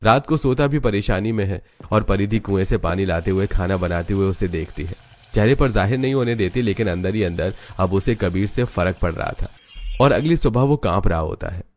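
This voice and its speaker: A man giving scripted narration for an animated family story. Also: lowish voice, fairly quick.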